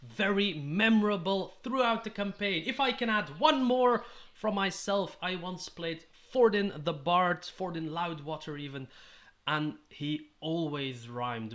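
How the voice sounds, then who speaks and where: Bright-voiced Dutch man giving tips. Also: fairly high pitch, unhurried. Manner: upbeat and encouraging, gestures with his hands. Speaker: YouTube host giving roleplaying tips. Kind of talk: scripted-sounding advice monologue to camera. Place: small indoor room with striped curtains. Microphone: studio mic on a stand in front of him.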